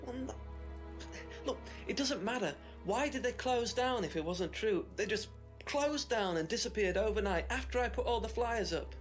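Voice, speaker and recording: An actor playing an agitated, smug conspiracy theorist in a mystery video game, emphatic and know-it-all. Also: male voice, high voice, lively intonation, normal speed, faint music behind. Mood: defensive, insistent. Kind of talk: FMV mystery game acting.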